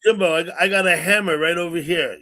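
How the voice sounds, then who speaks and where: A man in his sixties hosts a loose livestream chat, his voice sitting a little high for a man. He rambles, circling back and repeating his words as he goes. He talks into a computer mic on the stream call. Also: steady tone, a little fast, background noise. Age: 60s. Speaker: livestream host.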